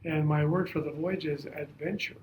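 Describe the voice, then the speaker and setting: A man's voice: fairly high pitch, steady tone, moderate pace, darkish tone, a little hiss or hum, rough recording. A man from Colorado, a faculty member, explaining things plainly in a casual interview. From Colorado, USA.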